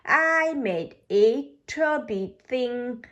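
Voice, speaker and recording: A woman's voice: a little high, lively intonation, very slow, a bit bright, highs cut off, heavily acted. A woman voicing eager young squirrel children from a picture book, with simple childlike exclamations. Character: eager little squirrel children at a storybook club.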